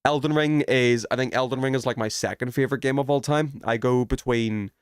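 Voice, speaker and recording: A man's voice: mid-range voice, fairly quick, smooth, dry recording, studio quality. A man chatting casually as a game streamer, rambling in a self-effacing, sweary way. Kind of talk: casual chat while streaming gameplay.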